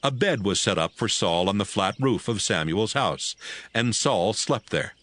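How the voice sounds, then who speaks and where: A male narrator reads scripture with a fairly low voice. His measured storytelling has lively, expressive intonation.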